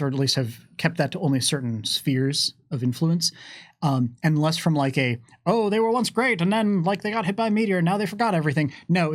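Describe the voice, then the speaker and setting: A man's voice: a little high, no echo, clean studio sound. A man answering fan questions in casual, unscripted talk, mixing wordy academic phrasing with slang. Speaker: game developer answering fan questions on a stream.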